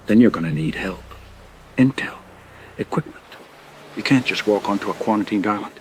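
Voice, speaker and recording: An actor playing a grim informant in an audio drama, speaking in grave, measured and emphatic warnings. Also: male voice, lowish voice, a little hiss or hum, close to an everyday voice. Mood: urgent warning. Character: grim male informant warning of bioweapon outbreak.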